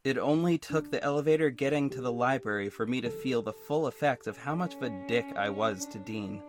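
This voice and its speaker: A man's voice: medium pitch, fairly quick, close to an everyday voice. A man plays a young superhero narrating an audio drama, confiding and a little sardonic. He broods frankly over his own story, with music underneath. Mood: brooding, reflective. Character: young adult male superhero narrator, hurt, introspective, sardonic. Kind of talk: first-person audio drama narration with dialogue. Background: instrumental music.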